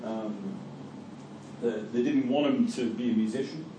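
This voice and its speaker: A middle-aged man with a Geordie accent lectures to a class, his voice dark and mellow. He stumbles and repeats words as he thinks aloud. The mic sits far off in an echoey room with faint noise. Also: medium pitch, unhurried. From Newcastle, England. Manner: hesitant, repeats words, many fillers. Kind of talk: university lecture with class discussion.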